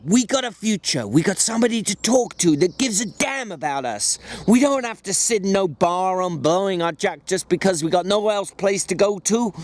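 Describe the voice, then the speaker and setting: A man voicing a sharp, protective migrant ranch hand with folksy, instructing speech in a dramatic storybook reading. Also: sits high, voice pushed up.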